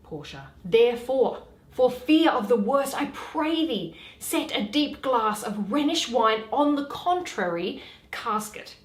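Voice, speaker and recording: A woman's voice: on the high side, lively intonation, slow. A woman playing a witty, mischievous young heiress in a theatrical Shakespearean reading, arch and teasing. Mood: scornful. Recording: roomy, echoey home recording.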